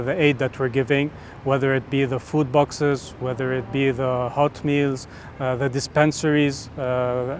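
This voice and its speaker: Man from Lebanon, community committee member, steady and hesitant delivery, faint street rumble behind. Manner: steady, with frequent "uh" pauses. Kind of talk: interview testimony.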